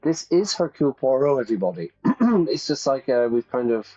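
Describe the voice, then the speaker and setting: A man's voice: mid-range voice, very animated, normal speed, mellow, lo-fi sound. A man giving casual, playful commentary to viewers as he plays a game, thinking aloud. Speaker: live streamer and English teacher.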